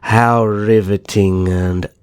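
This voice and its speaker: A man performs a calculating, haughty vampire naga in an ASMR drama. He speaks extremely slowly in a lowish voice, close and dry. His delivery is formal and theatrical, with cool disdain. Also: steady tone, smooth, no room sound. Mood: sardonic. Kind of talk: ASMR roleplay acting.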